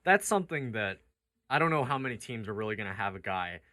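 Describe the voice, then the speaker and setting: A man's voice: on the low side, big pitch swings, fast talker, pushed and bright, no echo. A man hosting sports talk, reasoning conversationally through counterpoints in a basketball debate. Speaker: sports radio talk show host.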